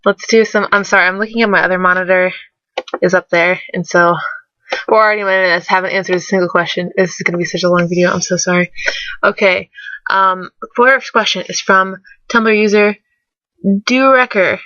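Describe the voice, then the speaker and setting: A young woman, sick and rougher-voiced than usual, rambling through fan questions in a casual webcam vlog, self-deprecating and full of fillers. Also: medium pitch, steady tone, brisk, pushed and bright, no room sound. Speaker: vlogger. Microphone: webcam mic. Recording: dull lo-fi webcam audio.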